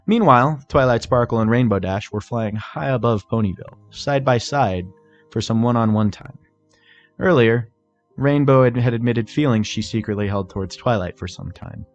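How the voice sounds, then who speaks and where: A man's voice: mid-range voice, normal speed, muffled recording. A man reading fan fiction aloud as a scripted story narrator. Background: music.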